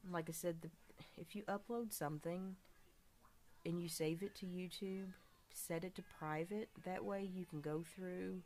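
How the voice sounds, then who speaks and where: A woman with a raspy voice from a recent illness, chatting casually and rambling enthusiastically while reviewing toys at a table at home. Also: fairly low pitch, darkish tone, slight background noise. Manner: rambling, enthusiastic, many fillers and restarts. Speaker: toy collector vlogger. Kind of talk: casual toy review to camera. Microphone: camera filming hands and toys, speaker off screen.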